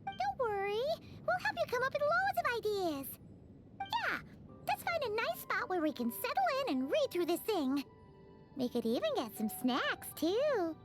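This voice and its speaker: A woman's voice: very high-pitched, huge pitch swings, heavily acted. A woman voicing a tiny, chirpy female companion creature who eagerly chimes in with exclamations. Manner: bouncy, exclamatory, quick to chime in. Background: game soundtrack music.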